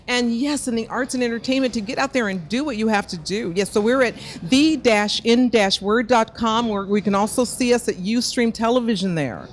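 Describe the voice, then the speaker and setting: Woman, fairly high voice, quick and joking, interview guest. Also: slight background noise. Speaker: guest entertainer. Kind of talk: interview answers and promotion.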